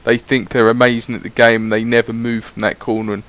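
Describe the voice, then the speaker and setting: A man's voice: medium pitch, little pitch movement, quick pace, fairly bright tone. A man giving casual, rambling voice-over commentary on his gameplay, swearing freely as he chats. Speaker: gaming YouTuber.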